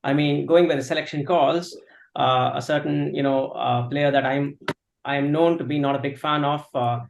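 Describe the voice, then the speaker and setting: A man's voice: a little high, fairly even intonation, moderate pace, gravelly, roomy sound. A man co-hosting a casual cricket chat, wry and mock-formal in how he phrases things. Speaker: co-host.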